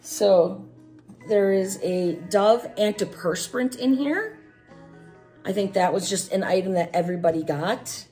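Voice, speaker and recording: A woman's voice: medium pitch, lively intonation, a little slow, dark tone. A middle-aged American woman chatting casually as she hosts an unboxing vlog, her voice affected by a chest cold. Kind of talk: casual product review chat. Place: small room at home, at a table. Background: music.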